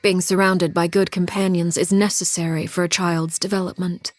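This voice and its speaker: A woman voicing a cold, commanding matriarch who rules an orphan household, in video game dialogue. She speaks in a calm, measured and quietly menacing way. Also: fairly low pitch, music in the background, lightly acted. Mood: cool menace.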